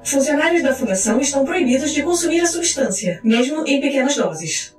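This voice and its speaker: High-pitched woman narrator, echoey, over music. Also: lively intonation. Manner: formal, clinical, measured reading. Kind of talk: scripted documentary-like narration of fictional case files. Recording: roomy echo with music underneath.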